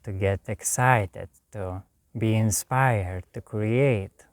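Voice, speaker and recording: A man from Lithuania with a Lithuanian accent, giving a calm, meditative spiritual talk in a slow, soft way with long pauses. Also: fairly low pitch, dry recording. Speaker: spiritual teacher.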